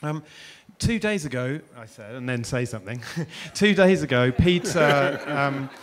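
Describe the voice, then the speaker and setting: A man's voice: mid-range voice, lively intonation, a little slow. Middle-aged male interviewer on stage. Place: conference stage before an audience.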